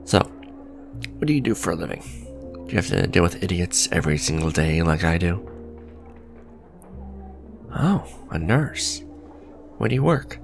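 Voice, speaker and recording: Man playing a gravelly, very low-voiced smug villain. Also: sing-song, unhurried, long pauses, faint music behind, heavily acted. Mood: flirty, teasing. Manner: sardonic, conversational, sighing and teasing. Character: smug male villain, suave luxury fashion businessman on a date. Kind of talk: romantic ASMR roleplay audio drama.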